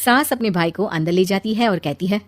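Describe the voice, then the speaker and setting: A woman's voice: medium pitch, fairly quick, dry recording, clean studio sound. A woman narrating a scripted story as a storyteller.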